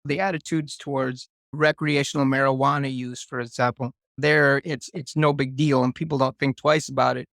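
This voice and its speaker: A man in his fifties, born in Germany, talking casually as an interview guest with a relaxed, reflective manner. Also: mid-range voice, moderate pace, gravelly, hardly any pauses, no echo, clean studio sound. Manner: relaxed, reflective, with restarts and fillers.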